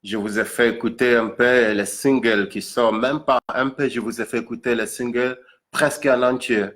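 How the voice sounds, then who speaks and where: A man from Accra with a Ghanaian accent and Ga as his first language, explaining things informally to an audience, haltingly and repeating words for emphasis. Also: mid-range voice, steady tone, fairly bright tone. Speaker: musician presenting own single.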